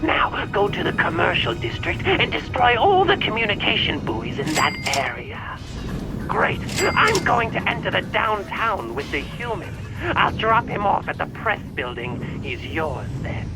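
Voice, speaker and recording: An actor playing an excitable robot bar owner in a video game, giving brisk, theatrical instructions. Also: male voice, high-pitched, music in the background, exaggerated voice, poor audio. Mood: excited, bossy. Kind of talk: video game cutscene acting.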